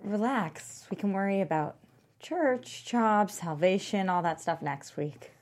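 A woman's voice: medium pitch, animated, a little slow, darkish tone, natural voice. Casting: woman to play coaxing, hard-drinking donut shop worker, mid-range voice, script read. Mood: seductive, evasive.

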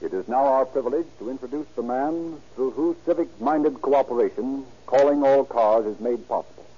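A man's voice: medium pitch, moderate pace, breathy edge. A man working as a radio announcer, reading scripted copy in the formal, emphatic style of 1930s radio. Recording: muffled 1930s radio recording.

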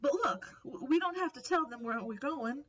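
A woman's voice: sits high, expressive, moderate pace, fairly bright tone, distant mic, lo-fi sound. A woman playing a protective, practical coworker in a radio drama, urging and reassuring. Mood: urgent, protective. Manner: urgent, reassuring, takes charge.

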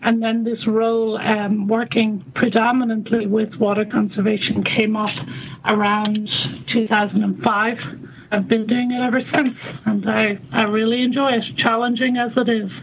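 A woman in her fifties with an Irish accent, a guest explaining her work in a measured way with frequent ums. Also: a little high, little pitch movement. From Ireland. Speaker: guest, water conservation coordinator. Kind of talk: remote interview conversation. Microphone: phone call. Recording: tinny phone line, faint noise.

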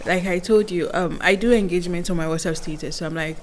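A woman, chatting as a podcast guest and acting out what people say to her in quick asides. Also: lowish voice, a little hiss or hum. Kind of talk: casual interview chat.

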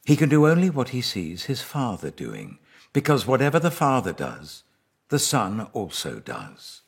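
A British man reading the words of a solemn, authoritative male teacher from scripture, with a husky voice and a grave, deliberate delivery. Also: medium pitch, huge pitch swings, pitched above the performer's own voice, exaggerated voice. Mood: emphatic declaring.

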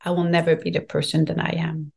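A middle-aged woman from Quebec with a French accent, speaking reflectively as a podcast guest and often filling pauses with "like, you know". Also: sits low, little pitch movement, creaky, slightly rough. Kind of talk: podcast interview conversation.

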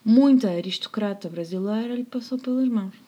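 A woman with a European Portuguese accent, co-hosting a podcast and telling a history story in a playful, jokey way, slipping into quiet asides. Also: medium pitch, brisk, highs cut off. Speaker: podcast co-host. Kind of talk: casual storytelling about history.

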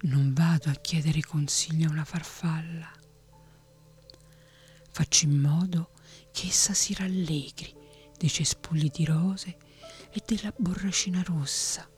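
A woman whispering the part of a stern, proud king in a fantasy audiobook, low and slow. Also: steady tone, a little mellow, faint music behind. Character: stern proud king, older man, commanding.

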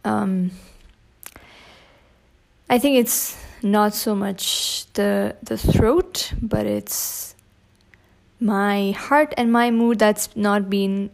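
A young woman hosting her own podcast, talking without a script in a hoarse, scratchy voice while grieving, with many pauses and soft fillers. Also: medium pitch, fairly even intonation. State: grieving, holding back tears. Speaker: podcast host. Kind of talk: unscripted personal podcast talk.

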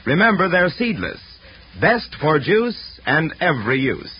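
A man's voice: a little high, very animated, unhurried, slightly rough. A man announcing and reading ads in a 1940s radio style. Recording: dull, thin 1940 radio broadcast.

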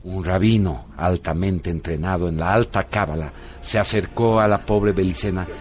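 A man's voice: low-pitched, steady tone, normal speed, darkish tone. A man narrating a radio drama in a solemn, theatrical way. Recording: music bed behind voice.

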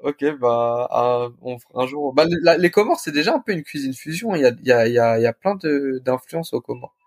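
A young man telling his story casually as an interview guest, in informal, halting phrases. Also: medium pitch, lively intonation. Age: young adult. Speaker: restaurant co-founder, interview guest.

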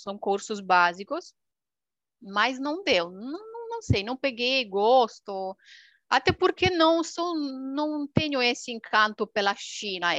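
A young woman from Romania, a native Romanian speaker with a Romanian accent, chatting casually in an expressive, animated way. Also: on the high side, dry recording. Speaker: language video creator. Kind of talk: casual chat.

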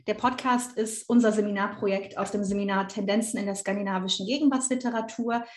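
A woman's voice: medium pitch, steady tone, fast talker, reverberant. A young woman from the Rhineland hosting a student podcast, talking in a thoughtful way with frequent hesitations. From Rhineland, Germany. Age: young adult. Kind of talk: casual academic discussion.

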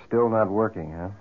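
An actor playing an affable, helpful friend in an old-time radio drama, genial and a little hesitant. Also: male voice, lowish voice. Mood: sympathetic. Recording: thin, muffled old radio recording.

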